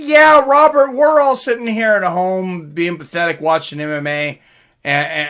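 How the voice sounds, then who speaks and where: Male livestream host, fairly high and sharp-edged voice, excitable off-the-cuff chatter with viewers, loose informal language. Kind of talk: casual livestream chat, reading out viewer comments and reacting.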